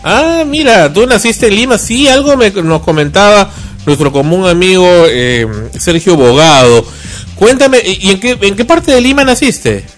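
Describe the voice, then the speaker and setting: A chatty man hosts a radio talk show, joking and rambling with plenty of fillers. His voice sits a little high for a man, and soft music plays faintly underneath. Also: big pitch swings, moderate pace. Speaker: radio show host and director. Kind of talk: casual radio chat.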